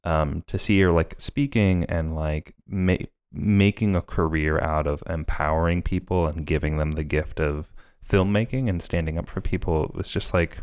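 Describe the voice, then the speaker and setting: A man's voice: extremely low pitch, smooth. A man in his thirties co-hosting a filmmaking podcast, chatting casually and rambling with lots of fillers. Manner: rambling, many fillers and restarts. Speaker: podcast co-host. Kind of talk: casual chat.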